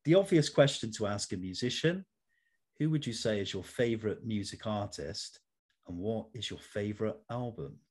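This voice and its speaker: Low-voiced male interview host. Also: expressive, normal speed, a little mellow, husky. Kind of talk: scripted interview questions with casual chat.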